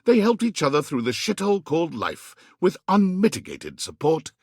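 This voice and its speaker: A man's voice: a little high, wildly swooping pitch, moderate pace, a little mellow, husky, no room sound, clean studio sound, exaggerated voice. A man playing a dramatic, wry, mischievous narrator in a video game, with a theatrical and teasing delivery. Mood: wry storytelling. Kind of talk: scripted storybook-like narration.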